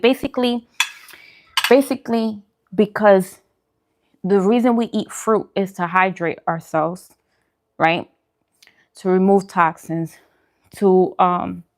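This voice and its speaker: A woman chatting casually as a vlog host, informal and conversational, often repeating phrases for emphasis. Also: fairly low pitch. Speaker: host of a food and vlog channel. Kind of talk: casual eating-show chat answering viewer questions.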